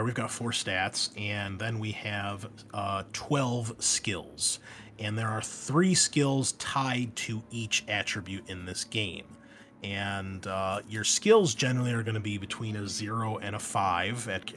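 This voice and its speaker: A man's voice: on the low side, fairly bright tone. A man casually explaining tabletop game rules as a host, talking informally and directly to the listener. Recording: noticeable background noise.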